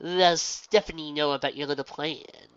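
A woman's voice: low voice, steady tone, normal speed, fairly bright tone, clear, resonant tone, no room sound, pitched above the performer's own voice. A woman voicing a wry, guarded female pony gym leader who is easily worried. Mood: wary. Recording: dull, dry lo-fi recording.

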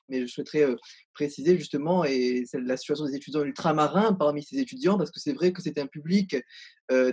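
A man's voice: mid-range voice, darkish tone, husky. A man in his twenties making an articulate, earnest point as a student guest in a video debate. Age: 20s. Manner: formal, earnest. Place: indoor room, video call.

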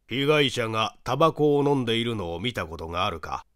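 A man's voice: mid-range voice, lively intonation, fairly quick, a bit bright, dry recording, studio quality, natural voice. A man voicing an authoritative prosecutor who questions witnesses curtly in an audiobook mystery. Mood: probing, curt. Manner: curt, official, probing.

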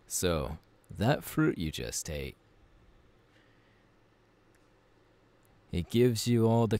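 A man voicing a gruff, easygoing pirate captain in an ASMR roleplay, gravelly and unhurried, talking intimately to the listener. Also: sits low, a little mellow. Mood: amused, reassuring. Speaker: voice actor.

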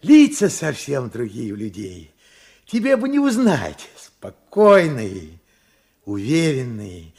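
An actor playing an older working-class father, earnest and proud, in Soviet radio drama style, with a husky, creaky, emphatic voice. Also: mid-range voice, wildly swooping pitch, slow, darkish tone, heavily acted. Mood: proud, reassuring. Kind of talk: Soviet radio play acting.

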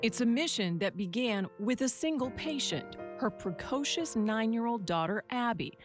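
A woman's voice: a little high, lively intonation, normal speed. A woman with an American accent reads scripted news feature narration as a reporter. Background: music under narration.

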